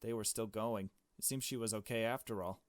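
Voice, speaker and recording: Low-voiced man reading a game story. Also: steady tone, brisk, dry recording. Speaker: let's player. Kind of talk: reading a visual novel aloud with commentary.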